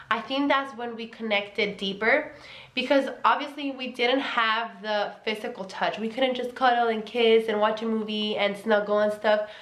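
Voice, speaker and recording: A woman's voice: on the high side, fairly bright tone. A young woman with a Mexican accent giving relationship advice in a casual vlog, earnest and emphatic, repeating key words. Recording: echoey room.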